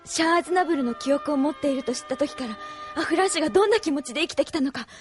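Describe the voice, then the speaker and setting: A woman's voice: high voice, animated, very fast, soft background music. A woman playing an earnest, outspoken young woman fighter who speaks with impassioned, reproachful force in an anime audio drama.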